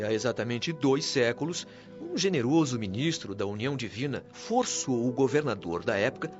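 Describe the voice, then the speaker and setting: A man's voice: medium pitch, close to an everyday voice. A Brazilian man playing a wise, patient spirit guide in a radio drama, speaking in a formal, earnest, didactic way. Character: wise, patient male spirit mentor of a heavenly colony. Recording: dull lo-fi audio with music underneath.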